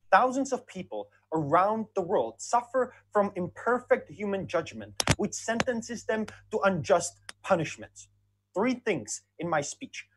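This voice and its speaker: A debater giving a formal, structured competitive speech, signposting each point, over a video call. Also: medium pitch. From Czech Republic. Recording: loud background noise.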